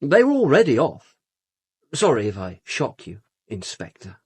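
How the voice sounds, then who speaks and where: An actor playing a flippant, louche upper-class British suspect in a radio drama, speaking in a glib, theatrically casual manner. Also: male voice, mid-range voice, wildly swooping pitch, unhurried, darkish tone, slightly rough, dry recording, heavily acted. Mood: sardonic.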